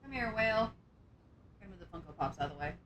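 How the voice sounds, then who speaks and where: A young woman with a sore throat chatting casually and excitably on a live stream, scatterbrained and full of gasps. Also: medium pitch, very fast, slight background noise. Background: background music.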